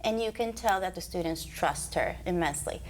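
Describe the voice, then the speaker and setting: A woman's voice: lowish voice, a little fast, a little hiss or hum. A woman school principal from Puerto Rico, composed and proud as she recounts her career in an interview. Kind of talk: interview answers.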